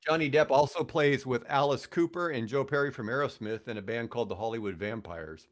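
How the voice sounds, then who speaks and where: A middle-aged man with an American accent and an average-pitched voice chats as a podcast guest. He tells stories in a rambling, enthusiastic way, circling back and adding asides as he goes. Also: fairly quick. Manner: rambling, enthusiastic about music. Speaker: podcast guest, guitarist and radio host. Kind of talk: casual storytelling conversation.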